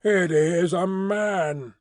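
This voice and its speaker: A man voicing a hunched, beastly male lawgiver who speaks in a thick voice with a whistling overtone and intones slowly in sing-song. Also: sits high, no echo, studio quality. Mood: commanding. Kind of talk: audiobook character voice.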